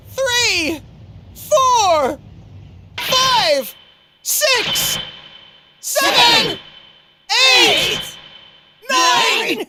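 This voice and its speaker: An actor playing a flustered, excitable tournament referee in an animated game, announcing loudly and drawing words out. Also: male voice, very high voice, background noise, full character voice. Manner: loud, drawn-out announcing. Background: music.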